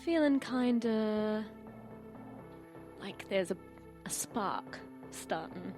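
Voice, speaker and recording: A woman playing a tabletop role-playing game, describing her character in halting, thoughtful phrases, with music clearly behind her. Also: medium pitch, animated.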